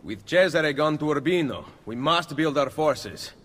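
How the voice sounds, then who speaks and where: Echoing, resolute Italian assassin hero. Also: male voice, on the high side, animated, a little slow, a bit bright. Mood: determined. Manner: decisive, earnest, commanding. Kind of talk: dramatic video game acting. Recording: long reverb, music behind.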